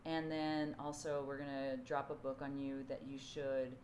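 A woman co-hosting a podcast in casual chat, her voice hoarse from yelling, talking in a chatty, animated way with plenty of fillers. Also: very deep voice, normal speed, a little hiss or hum. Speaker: podcast co-host.

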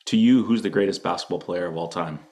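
A man with a low-pitched voice hosts a sports podcast. He asks questions casually and jokingly, in loose, slangy language. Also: fast, a little mellow. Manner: informal, jokey, slangy. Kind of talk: casual interview chat.

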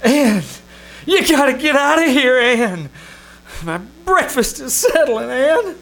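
A man's voice: very high voice, slow, vocal fry, full character voice. A man playing a wry, lovesick and easily flustered Hollywood press agent in an old-time radio comedy performed on a theater stage. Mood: exasperated. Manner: wry, excitable, stammering when flustered. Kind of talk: old-time radio comedy acting with first-person narration. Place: small-town theater stage. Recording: noisy live theater stage recording.

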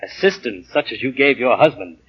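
Pompous, husky radio-drama boss. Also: male voice, mid-range voice, animated, fairly quick. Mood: brusque, formal. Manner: formal, pompous, hesitant when cornered. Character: pompous, stern, easily flustered company boss and husband. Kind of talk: 1940s radio acting. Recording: muffled 1940 radio broadcast recording.

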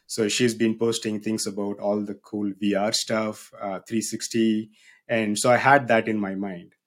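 A man in his thirties speaks with a South Indian accent, his voice sitting somewhat low. He tells his story in an unhurried, easygoing way, with a touch of humor, as a guest on a podcast. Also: level tone. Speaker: guest, business owner and university researcher. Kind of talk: casual podcast interview.

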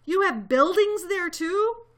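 A woman's voice: on the high side, very animated, moderate pace, pushed and bright. A woman voicing a big, kind-hearted, protective storybook elephant in an earnest, emphatic way. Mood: astonished. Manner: pleading, emphatic.

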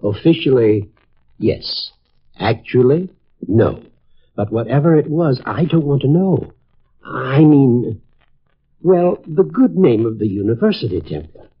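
An actor playing a pompous, flustered older college dean who blusters and repeats himself in a 1950s radio drama. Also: male voice, medium pitch, lively intonation, unhurried, long pauses, husky. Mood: flustered, evasive. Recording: noisy, muffled vintage radio recording.

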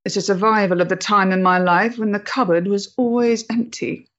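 An actress playing a proud, emotionally fragile 1890s woman in love, with a measured, theatrical way of talking in a period stage drama. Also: medium pitch, steady tone, fairly bright tone, dull audio, natural voice. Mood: wry, proud.

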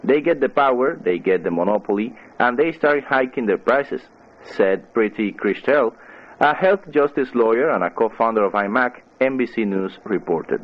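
A man's voice: medium pitch, lively intonation, moderate pace, phone-quality sound. A man reading scripted news as a radio newsreader on a shortwave broadcast.

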